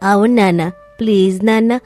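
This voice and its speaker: A woman's voice: medium pitch, steady tone, normal speed, a little mellow, natural voice. A woman voicing an eager, pleading young child in a cartoon family story. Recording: music bed under voice.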